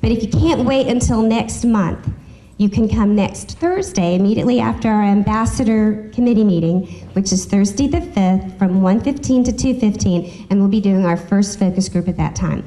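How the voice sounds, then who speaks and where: A woman's voice: medium pitch, moderate pace, mellow, rough recording. A woman chatting to a group as she makes informal announcements as host of a business meeting. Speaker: chamber of commerce host.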